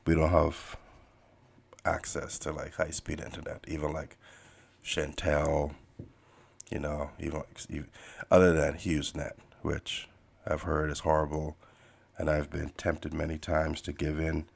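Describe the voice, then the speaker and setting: Very deep, slow, gravelly man. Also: completely flat delivery. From Bahamas. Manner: meandering, many fillers and restarts, laid-back. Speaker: podcast host and artist. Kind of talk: casual rambling chat while drawing.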